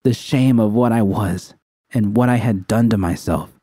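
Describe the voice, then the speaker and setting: A man's voice: on the low side, normal speed, warm tone, dry recording, studio quality. A man reading scripted first-person dramatic fiction as an audiobook narrator.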